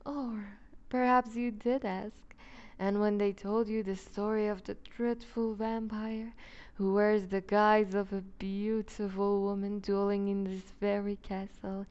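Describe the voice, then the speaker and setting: A woman playing a seductive, possessive vampire duchess in an ASMR roleplay, speaking with deliberate, teasing condescension and breaking into whispers. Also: medium pitch, flat delivery, a little mellow, clean tone, highs cut off, close to an everyday voice. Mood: mocking. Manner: slow, deliberate, purring condescension with whispered phrases. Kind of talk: ASMR roleplay audio drama.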